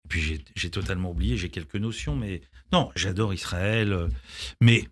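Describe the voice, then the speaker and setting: Low-voiced man, halting then emphatic. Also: no echo, clean studio sound. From Israel, grew up in France. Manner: hesitant, many restarts, then emphatic. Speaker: film director and actor, guest. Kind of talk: radio interview answers. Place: radio studio.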